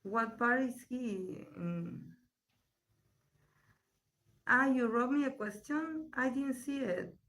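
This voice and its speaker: A woman reading tarot on a live stream and answering chat questions. Her voice is still recovering, and she talks in a slow, searching way, with pauses and repeated words. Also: a little high, animated, fairly bright tone, poor audio. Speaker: tarot reader. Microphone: poor live-stream mic.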